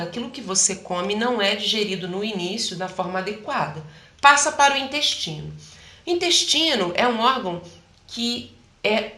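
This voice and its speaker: Animated woman with a bright, echoey voice. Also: mid-range voice. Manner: animated, acts out quoted voices, laughs often. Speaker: health practitioner guest. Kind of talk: explanatory interview talk.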